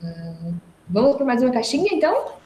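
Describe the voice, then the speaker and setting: Woman hosting, gushy and lively, warm mellow voice in an echoey room. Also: fairly high pitch, fairly quick. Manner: enthusiastic, effusive. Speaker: podcast host. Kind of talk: casual interview hosting.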